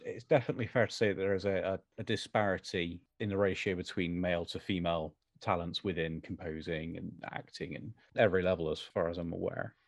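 A man's voice: low voice, fairly even intonation, brisk, gravelly, hardly any pauses, no room sound, clean studio sound. A man answering as an interview guest in a conversational, considered and diplomatic manner.

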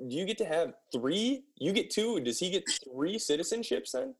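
A man's voice: high voice, big pitch swings, normal speed. A man hosting a casual interview, asking questions informally and reacting with short interjections. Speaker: interview host.

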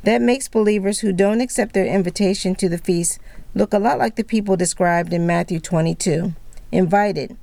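A woman co-hosting a religious podcast, reading a script in a calm, measured way. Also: mid-range voice, vocal fry, no echo. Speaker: podcast co-host.

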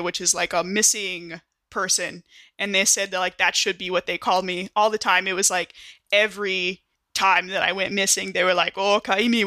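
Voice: mid-range voice, sharp tone, no room sound. A performer playing a friendly, joking young Marine medic in a sci-fi tabletop roleplay, rambling and informal. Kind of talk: improvised in-character tabletop roleplay.

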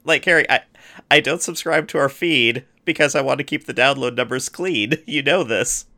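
A man's voice: mid-range voice, moderate pace, sharp tone. A man co-hosting a podcast in casual chat, teasing and wry, often laughing. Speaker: podcast co-host.

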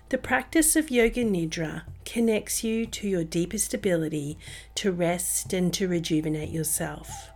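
A woman narrating a guided meditation in a soothing, gentle and unhurried manner. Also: fairly low pitch. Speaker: meditation podcast host and guide. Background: music behind the voice.

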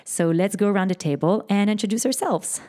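A woman in her forties hosting a parenting podcast, opening the show and steering the talk between guests in an upbeat, brisk way. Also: mid-range voice, dark tone. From Canada. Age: 40s. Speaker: podcast host.

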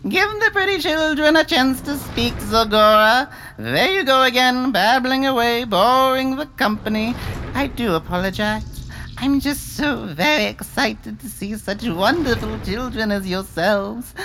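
A woman's voice: fairly high pitch, unhurried, a little hiss or hum, low-quality recording. A woman playing a gushing, eccentric sorceress. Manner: gushing, flattering, turns sly and scornful. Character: eccentric fawning sorceress, plump, cursed woman. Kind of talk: audiobook character acting.

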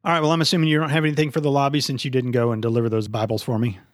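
A man's voice: medium pitch, flat delivery, racing, no room sound, clean studio sound. A man in his fifties hosting a movie podcast, chatting casually and swearing freely. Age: 50s. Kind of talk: casual, profane podcast chat.

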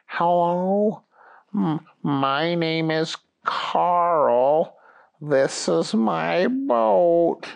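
Someone doing a slow, halting ancient man. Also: mid-range voice, vocal fry, smooth, voice pushed up. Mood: feeble, friendly. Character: extremely old, meek, friendly boat owner man.

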